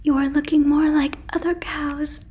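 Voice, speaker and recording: A woman's voice: sits high, flat delivery, normal speed, dull audio, lightly acted. A woman voicing a friendly, naive female alien in an ASMR roleplay, whispering breathily and very close to the mic with soothing, stilted, formal phrasing. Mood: gently busy, pleased. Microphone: fluffy microphone, very close.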